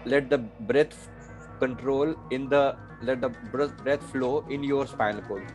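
Guy teaching breathing meditation, medium pitch, repeats his short cues, music in the back. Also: steady tone, a little slow, rough recording. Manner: repetitive, instructing in short phrases. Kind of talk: guided meditation instruction.

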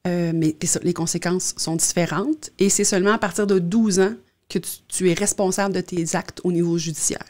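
Lower-pitched middle-aged Quebec woman explaining. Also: steady tone, a little fast, hardly any pauses, a little mellow. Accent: Quebec French. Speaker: guest, youth justice social worker. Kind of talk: explanatory radio interview. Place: small indoor radio studio. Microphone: close studio mic on boom arm.

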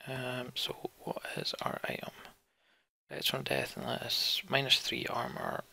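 A man narrates his way through a video game as a let's play host. His voice is very creaky and gravelly, with a husky grain and a bright edge. He moves between reading text aloud and muttering his own thoughts. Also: medium pitch, huge pitch swings, a little slow.

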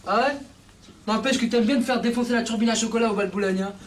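A man's voice: very high voice, quick pace, a little hiss or hum. A man playing a brash, needling young guy from a housing estate in a film, confrontational and slangy. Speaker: film actor. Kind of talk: naturalistic film dialogue in street slang.

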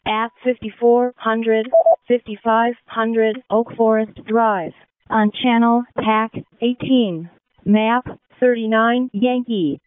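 Slow-paced female fire dispatcher on muffled radio. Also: fairly high pitch, fairly even intonation, clear, resonant tone, no echo. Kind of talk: emergency radio dispatch announcement. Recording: muffled emergency radio channel.

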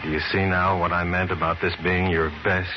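An actor playing a teasing, tender police captain in love in a 1950s radio drama. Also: male voice, low voice, level tone, fairly quick, over music, close to an everyday voice. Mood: earnest, tender. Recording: muffled old radio recording, faint noise.

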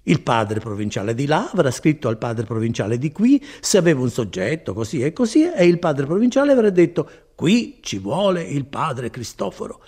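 Guy doing a folksy rambling friar for an audiobook, raspy, pitch jumping all over. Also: big pitch swings, moderate pace, hardly any pauses, a little mellow. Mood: matter-of-fact. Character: chatty, placid old-fashioned friar, folksy and evasive. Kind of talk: dramatized audiobook reading.

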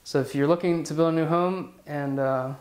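A man's voice: fairly high pitch, fairly even intonation, moderate pace, darkish tone, clear, resonant tone. A man in his thirties, a home designer, giving a semi-scripted tour to camera on a lapel mic, friendly and explanatory with a few fillers. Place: outdoors in front of a house, then indoors in rooms.

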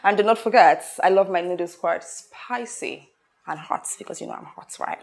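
A woman's voice: lowish voice, normal speed, gravelly. An actress playing a haughty, hot-tempered rich woman who scolds and repeats her questions emphatically in a film drama. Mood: haughty, demanding. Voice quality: loud, shouting when angered. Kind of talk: low-budget film drama acting.

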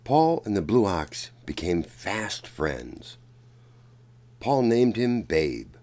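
Deep, slow male storybook reader. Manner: deliberate, expressive storytelling. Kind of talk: read-aloud storybook narration.

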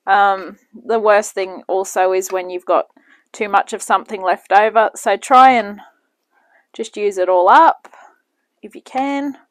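A woman's voice: mid-range voice, measured pace, dry recording. A woman chatting casually as she cooks in her home kitchen and hosts her own cooking channel. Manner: chatty, talks while working in the kitchen.

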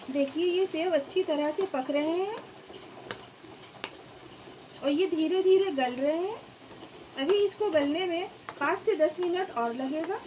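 A woman's voice: very high-pitched, measured pace, long pauses, warm tone, slight background noise, rough recording. A woman hosting a cooking channel, explaining each step of a home recipe plainly and calling her viewers friends. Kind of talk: step-by-step cooking demonstration voice-over. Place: home kitchen.

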